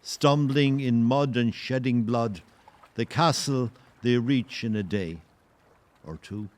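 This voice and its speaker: A man narrating a historical audio play in a solemn, literary and measured way. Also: mid-range voice, lightly acted. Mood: grave. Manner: measured, poetic. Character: solemn male storyteller of a historical tale.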